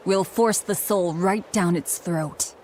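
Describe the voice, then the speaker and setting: A woman's voice: lowish voice, slow pace, darkish tone, slight background noise. A woman playing a grim, determined young antihero in a fantasy video game, speaking bluntly. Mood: grim determination. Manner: blunt, terse.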